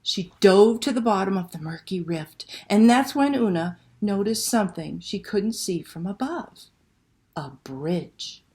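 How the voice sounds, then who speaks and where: A woman telling a picture-book story to children in a dramatic, expressive read-aloud style. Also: medium pitch, measured pace. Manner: dramatic, animated delivery with hushed passages and exclamations.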